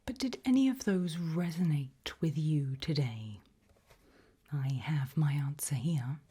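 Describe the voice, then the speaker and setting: Whispering, breathy woman doing slow ASMR. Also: low voice, animated. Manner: slow, measured, quietly ominous. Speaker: ASMR host playing a fear-test examiner. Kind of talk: whispered ASMR role-play, addressing the listener.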